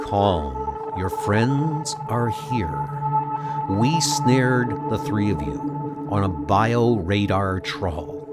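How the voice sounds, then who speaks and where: A man playing a calm, solemn cosmic guardian with a slow, measured delivery in a comic dub. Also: on the low side, over loud music.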